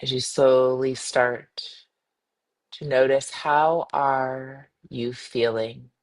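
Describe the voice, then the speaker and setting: Woman guiding a slow, soothing meditation. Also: very deep voice. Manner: soothing, unhurried, gently instructing with pauses. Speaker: meditation guide, yoga teacher. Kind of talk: guided meditation.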